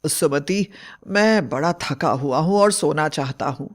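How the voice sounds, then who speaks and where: A woman's voice: sits low, lively intonation, measured pace, voice pushed down. A woman voicing an anxious, devoted, trusting young husband in a storybook tale, earnest and emphatic. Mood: tender, tired. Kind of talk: dramatized storybook acting.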